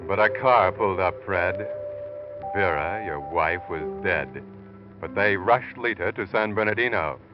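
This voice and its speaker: An actor playing an ominous, knowing narrator who taunts in a sardonic, accusing way, in 1940s radio suspense style. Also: male voice, sits low, unhurried. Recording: muffled vintage radio recording. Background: music.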